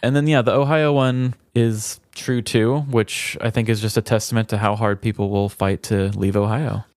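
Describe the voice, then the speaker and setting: A man's voice: lowish voice, fairly even intonation, normal speed, a little mellow, dry recording. A man co-hosting a comedy podcast in a chatty, wry, casual way, close to the mic. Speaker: podcast co-host. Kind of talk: casual comedic chat, reading trivia aloud.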